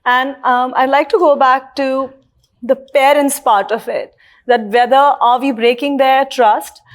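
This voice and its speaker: A woman whose first language is Punjabi, speaking as a school leader on a panel, calmly recounting what parents told her. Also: high-pitched, measured pace. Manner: reflective, relays parents' views. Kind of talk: panel discussion.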